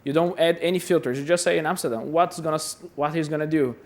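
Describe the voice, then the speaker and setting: Brazilian guy talking at a conference, chatty, repeats himself a lot, bit higher voice. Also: fast talker. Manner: chatty, self-deprecating, repeats words. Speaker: conference speaker. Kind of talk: conference talk. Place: conference hall.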